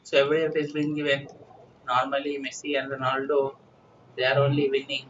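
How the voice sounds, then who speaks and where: A man's voice: medium pitch, completely flat delivery, brisk, fairly bright tone. A man from India with an Indian accent giving an informal, explanatory current affairs lecture as a teacher, repeating points instructively. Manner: repetitive, instructive, with "you know" tags. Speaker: exam-prep teacher. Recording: distant mic, muffled lo-fi, faint noise.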